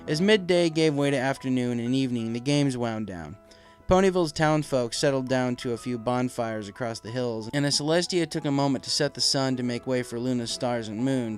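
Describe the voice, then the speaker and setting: A man reading scripted story narration as a storybook narrator. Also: medium pitch.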